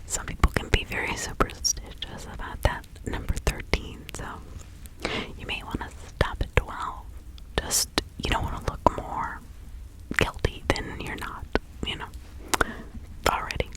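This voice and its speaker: A woman voicing a kindly, chatty older village witch in an ASMR roleplay, speaking in a breathy, halting, confiding whisper. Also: close to an everyday voice, rough recording. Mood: confiding, advising. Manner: slow, halting, soothing, confiding.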